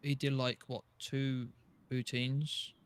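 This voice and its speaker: A man's voice: mid-range voice, little pitch movement, slow pace, clean tone. A young man giving casual opinions as a panelist on a podcast. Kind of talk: casual chat.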